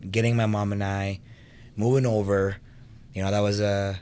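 Low-voiced man from Venezuela chatting. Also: flat delivery, moderate pace, darkish tone, smooth. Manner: personable, many fillers. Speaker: guest, fitness business co-owner. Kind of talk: casual interview chat.

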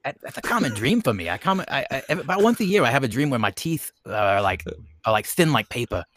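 A man with a bright, forward voice chats casually as a podcast co-host. His pitch moves a great deal as he rambles and doubles back on his phrases. The sound around him is dry. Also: very animated, a little fast, no room sound. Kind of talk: casual chat.

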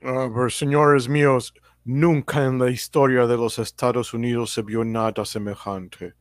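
An actor playing a pompous, outraged prosecutor orating in a radio drama courtroom. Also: male voice, mid-range voice, level tone, unhurried, dry recording, clean studio sound, natural voice. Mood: indignant. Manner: pompous, declamatory.

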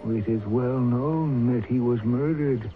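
Man acting a slow, proud, stoic chief. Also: mid-range voice, lightly acted. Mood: proud, grave. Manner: slow, solemn, formal speech. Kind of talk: old-time radio drama acting. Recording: tinny, noisy old radio broadcast.

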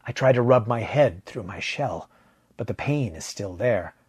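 Low, husky male story narrator, close and dry. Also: animated, a little slow, dry recording. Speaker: fiction narrator. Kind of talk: first-person audiobook narration of a science fiction story.

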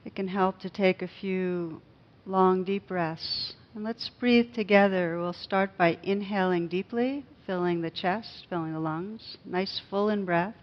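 A woman's voice: on the low side, steady tone. Woman guiding meditation, slightly muffled recording. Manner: gentle, unhurried, with pauses. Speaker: meditation teacher. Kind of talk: guided meditation.

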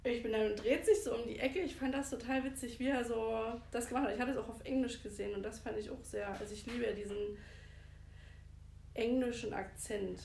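A woman's voice: on the high side, steady tone, a little fast, darkish tone. A woman co-hosting a podcast in casual conversation, recorded in an echoey room. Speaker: podcast co-host. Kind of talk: casual chat, discussing a book and film. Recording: echoey room with clear background noise.

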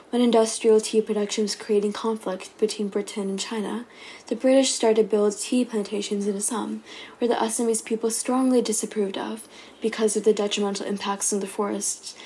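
A woman giving a scripted podcast narration in a measured, read-aloud style. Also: mid-range voice, dark tone, low-quality recording.

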